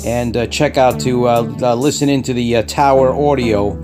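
A man narrates casually as a video host, with music clearly playing behind him. His delivery is halting, with drawn-out hesitations, and his pitch sits in an ordinary middle range. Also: steady tone. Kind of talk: casual vlog narration over plane-spotting footage.

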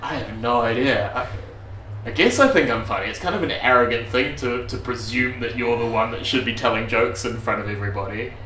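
A young man with a New Zealand accent, a stand-up comedian with a dry, deadpan, self-deprecating delivery, speaking into a handheld mic in a brick comedy club. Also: medium pitch, brisk, echoey room, recorded from a distance, a little hiss or hum, rough recording. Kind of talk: stand-up set and casual interview. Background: crowd laughter.

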